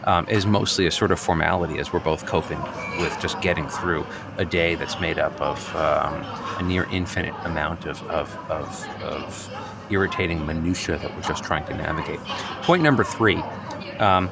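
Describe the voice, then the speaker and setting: A man's voice: deep voice, normal speed, gravelly, loud music behind the voice. An actor playing a neurotic, agitated office worker in his late thirties, wordy and hesitant, justifying himself point by point in improvised audio comedy. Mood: insistent, aggrieved. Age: late 30s. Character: neurotic, agitated office worker newly rejecting social norms.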